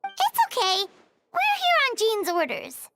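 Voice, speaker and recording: Squeaky, very high childlike fairy companion. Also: female voice, wildly swooping pitch, measured pace, very noisy, full character voice. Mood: matter-of-fact. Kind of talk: video game voice acting. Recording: music behind the voice.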